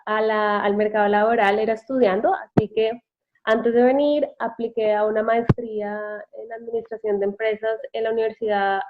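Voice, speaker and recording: A woman's voice: fairly high pitch, steady tone, moderate pace, slight background noise. Woman on a tinny call line. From Bogotá, Colombia. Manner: thoughtful, with hesitations and self-corrections. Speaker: interview guest, immigrant. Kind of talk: casual interview answers. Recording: tinny phone-like call audio.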